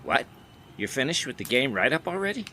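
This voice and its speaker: Brief: brash press-box wisecracker, sharp highish voice, sarcastic radio-drama delivery, faint background noise. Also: male voice, very animated, a little fast. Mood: mocking. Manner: sarcastic, needling. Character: brash, wisecracking younger male 1920s sportswriter.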